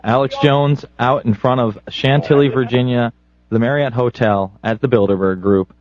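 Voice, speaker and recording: A man's voice: medium pitch, fairly even intonation, normal speed, darkish tone, highs cut off. A man hosting political talk radio, commenting in an indignant, sarcastic way. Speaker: radio show host.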